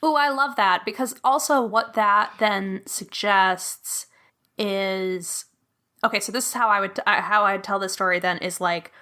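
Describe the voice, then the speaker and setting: Bright, eager woman player. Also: medium pitch. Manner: eager, quick to laugh, reasons aloud excitedly. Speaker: guest player. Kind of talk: casual chat playing a solo tabletop game.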